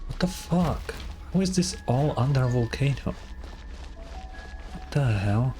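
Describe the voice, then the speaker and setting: A man's voice: medium pitch, expressive, a little slow. Casual sweary male game streamer over music. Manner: sweary, informal reactions. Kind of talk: casual gameplay commentary.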